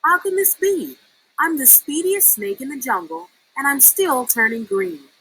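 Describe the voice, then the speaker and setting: Woman voicing an excitable, high-pitched young boy snake. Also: measured pace, slight background noise, heavily acted, low-quality recording. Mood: alarmed. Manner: excitable, exclamatory. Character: restless, defiant young male yellow snake.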